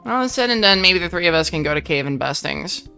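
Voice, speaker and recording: An earnest young heroine from a fan-made game, read out by a woman in a bright, forceful voice that sits a little low. Her lines come with bursts of excitement, and soft music plays faintly behind. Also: fast, vocal fry, smooth, exaggerated voice. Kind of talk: reading a visual novel aloud on stream.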